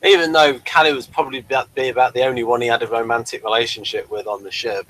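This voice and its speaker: A man's voice: medium pitch, steady tone, quick pace, fairly bright tone, poor audio. A man talking casually as co-host of a television review discussion.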